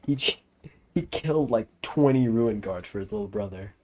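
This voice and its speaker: A man's voice: lowish voice, lively intonation, moderate pace, noisy background. A young man giving casual, amused playthrough commentary as a gaming streamer, full of slang and swearing. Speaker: gaming streamer reacting to a game. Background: faint game music.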